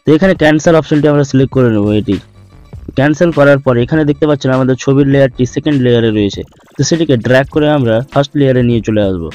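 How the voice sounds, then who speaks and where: Tutorial host, male. Rapid instructional delivery, dull lo-fi recording over music. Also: mid-range voice, darkish tone. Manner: instructional, repetitive explaining. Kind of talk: step-by-step screen tutorial voice-over. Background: background music.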